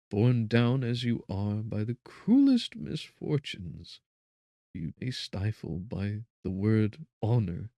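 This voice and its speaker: An anxious, devoted young woman voiced by a man speaks in an earnest, pleading way, as in an audiobook of an old novel. The voice sits fairly low, and the sound is dry with little room. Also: gravelly, warm tone, no echo, exaggerated voice. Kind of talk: dramatic audiobook reading.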